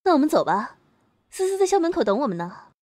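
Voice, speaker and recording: In an echoey, reverberant space, a kind, studious schoolgirl acted by a woman speaks in a high voice. It is campus radio drama. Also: very animated, fast, heavy background noise. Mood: friendly. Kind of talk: amateur campus audio drama acting. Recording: echoey, long reverb, music underneath.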